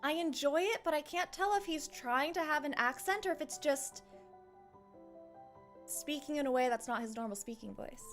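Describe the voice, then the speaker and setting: A woman's voice: high voice, expressive, normal speed, a bit bright. A woman giving chatty, rambling, playful casual commentary as she plays through a game. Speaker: game streamer. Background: game music.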